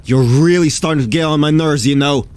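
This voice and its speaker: A man's voice: a little high, a little fast, a little mellow, clean tone, lightly acted. A man playing a hot-tempered quiz show host in an audio drama, with an emphatic, dramatic delivery. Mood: angry, demanding.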